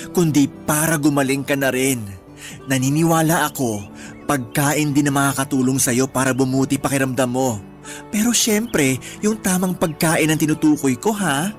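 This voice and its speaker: A man playing a playful, campy best friend in a radio drama, expressive, teasing and encouraging. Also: on the high side, lightly acted. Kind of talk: Tagalog radio drama dialogue, conversational acting.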